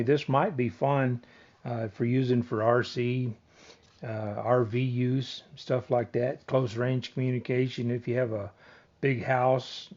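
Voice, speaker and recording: A man giving a casual, unscripted product demonstration in a plain-spoken, explanatory way, pausing and trailing off as he goes. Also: medium pitch, a little mellow. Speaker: product reviewer and presenter. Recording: slightly dull, lo-fi home video audio.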